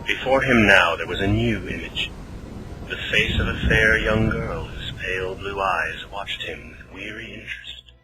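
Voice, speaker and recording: A man's voice: lowish voice, moderate pace, heavy background noise. A man giving dramatic scripted narration for a fantasy radio play. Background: loud music.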